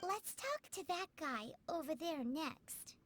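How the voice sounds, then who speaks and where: Squeaky, very high-pitched little fairy girl. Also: lively intonation, slow pace, background noise, full character voice. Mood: curious, eager. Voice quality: squeaky, childlike. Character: small cheerful floating fairy companion, childlike girl. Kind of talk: scripted video game voice acting. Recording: game music behind the voice.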